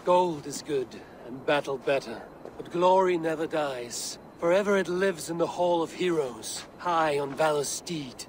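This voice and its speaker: Man acting stoic Viking warrior, slightly high-pitched. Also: a little hiss or hum, close to an everyday voice. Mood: solemn. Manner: measured, solemn, terse. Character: stoic, honour-bound Viking warrior in his prime. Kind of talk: dramatic video game acting.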